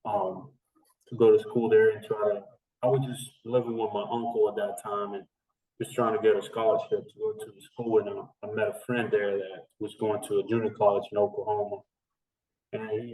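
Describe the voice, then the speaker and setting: A man in his thirties from the Dominican Republic talks in a relaxed, rambling way, full of fillers and restarts. His voice sits low and stays level in pitch. He sounds set back from the mic in an echoey room. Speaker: strength and conditioning coach, guest. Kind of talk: casual interview chat. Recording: echoey, distant remote recording.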